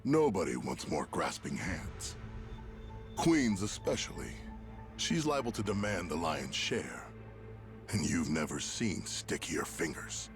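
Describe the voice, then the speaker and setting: Video game villain, male: polished, menacing businessman-gangster, formal and superior, deep register, swinging delivery. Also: big pitch swings, slow, heavily acted. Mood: suave, scheming. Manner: smooth formal menace, condescending. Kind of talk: dramatic video game voice acting. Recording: game music behind.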